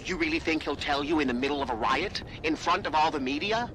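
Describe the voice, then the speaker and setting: An actor playing a sceptical, matter-of-fact tech hacker colleague in a video game. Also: male voice, a little high, fast talker, close to an everyday voice. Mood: brisk, sceptical. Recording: noisy battle-like ambience behind.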